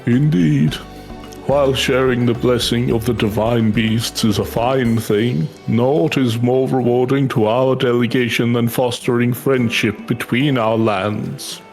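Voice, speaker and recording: A man voicing a formal, kindly delegation official in a fantasy game, with measured courtly phrasing. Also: medium pitch, fairly even intonation, darkish tone, natural voice. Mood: gracious, benevolent. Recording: game music behind the voice.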